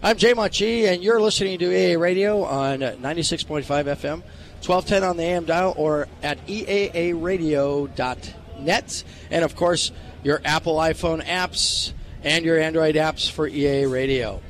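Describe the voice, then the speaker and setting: A man's voice: a little high, moderate pace. A man hosting live radio on location, chatty and announcer-like. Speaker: radio host and interviewer. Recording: ambient event noise behind voice.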